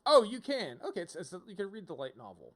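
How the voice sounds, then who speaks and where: A man's voice: high voice, wildly swooping pitch, quick pace, fairly bright tone. A man giving casual livestream game commentary, rambling as he thinks aloud. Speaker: game streamer.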